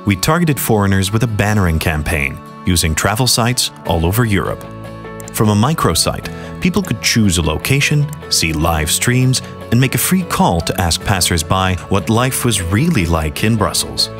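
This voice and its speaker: A man with a deep, low voice narrates an advertising case film in a calm, measured way. Music plays clearly beneath his words throughout. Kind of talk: scripted voice-over narration. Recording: voice-over with music bed behind.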